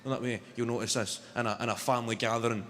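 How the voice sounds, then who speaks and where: A man in his twenties with a Glaswegian Scottish accent, telling deadpan stories in a stand-up set, swearing freely. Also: mid-range voice, very fast, in a large hall, a little hiss or hum. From Scotland. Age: 20s. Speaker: stand-up comedian. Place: large arena on stage. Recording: live arena with loud crowd. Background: audience laughter.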